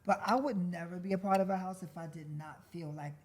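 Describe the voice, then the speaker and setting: A woman co-hosting a podcast, speaking in a deliberate, reflective way and posing rhetorical questions. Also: fairly low pitch, a little mellow. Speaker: podcast co-host. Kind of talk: casual chat.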